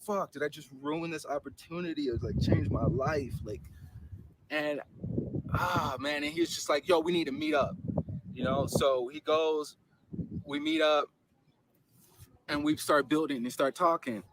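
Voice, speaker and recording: A man from Los Angeles earnestly telling a long, rambling story on a casual podcast, his voice jumping up when he gets animated. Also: on the high side, a little slow, pauses often, very noisy.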